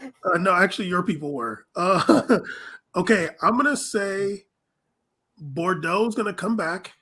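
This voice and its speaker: A man with a clearly high, bright voice. He speaks as a podcast guest in loose, casual sports talk, with a forward, sharp tone. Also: unhurried.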